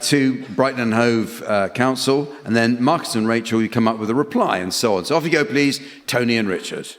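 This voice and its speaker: A man's voice: medium pitch, fairly quick. A man hosting a comedy panel game as chairman in front of a live audience. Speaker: guest chairman of a radio panel show. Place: stage before a live audience. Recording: hall echo with audience behind.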